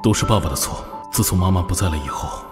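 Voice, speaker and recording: A man playing a sorrowful, guilt-laden middle-aged father in an animated drama, grave and solemn, with dreamlike reverb. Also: low voice, fast. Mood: grieving, solemn. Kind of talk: Chinese animated drama acting. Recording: long echoing reverb over music.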